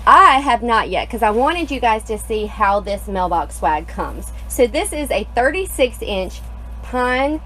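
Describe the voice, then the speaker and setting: A young woman co-hosting a live craft tutorial in an indoor studio, upbeat and a little hoarse, greeting viewers by name. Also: fairly high pitch, expressive, unhurried, sharp tone, faint music behind. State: slightly hoarse, losing her voice. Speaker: co-host, craft designer.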